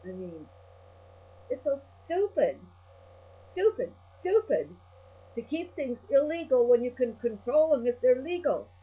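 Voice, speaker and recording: A woman's voice: high voice, big pitch swings, a little slow, slightly rough. An older woman video blogger giving a rambling personal monologue in a blunt, salty-tongued, ranting manner. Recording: dull lo-fi audio with mains hum.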